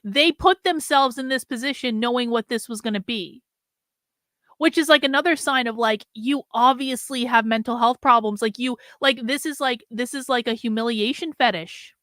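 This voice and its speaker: A woman with a bright, forceful voice riffs bluntly over a clip, full of "like"s and the occasional curse. Her voice sits a touch high and sounds dry and close, with no room around it. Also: normal speed, dry recording. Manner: blunt, rambling asides with frequent "like" and swearing. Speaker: commentary host reacting to a clip. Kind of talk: casual reaction commentary.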